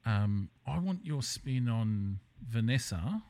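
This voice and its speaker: A man's voice: medium pitch, big pitch swings, slow, a little mellow. A man hosting a casual podcast chat, talking in a rambling, hesitant way that often trails off mid-thought. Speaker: podcast host. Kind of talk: casual conversational podcast interview.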